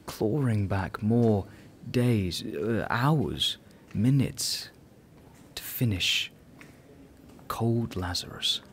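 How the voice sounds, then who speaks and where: A man plays a gentle, knowing angel in a radio drama. His voice is fairly low and very measured, consoling with a touch of amusement. There is clear noise behind him. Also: exaggerated voice. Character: gentle, knowing male angel guiding the dying. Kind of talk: radio play acting.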